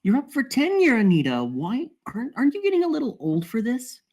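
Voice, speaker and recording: A woman playing a motherly, sharp-tongued woman in her late forties, pointed and nagging, with a husky voice, in a screenplay table read. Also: fairly high pitch, huge pitch swings, fairly quick, exaggerated voice. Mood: needling.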